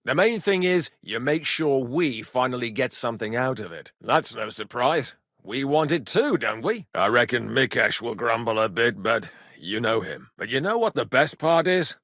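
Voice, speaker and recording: A man voicing a gruff, sardonic, hard-drinking medieval mercenary in a video game, with a blunt, earthy, foul-mouthed way of talking. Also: medium pitch, sing-song, normal speed, hardly any pauses, a bit bright, no room sound, clean studio sound. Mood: wry, matter-of-fact. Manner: earthy, blunt, swearing, wry. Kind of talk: video game character dialogue.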